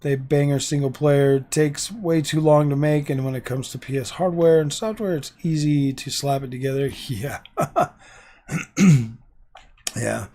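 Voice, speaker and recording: Hoarse male streamer, rambling chat. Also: a little high, a little slow, warm tone. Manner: rambling and indecisive, repeats himself. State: hoarse, losing his voice. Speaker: livestream host. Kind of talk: casual live stream chat with viewers. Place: home gaming room.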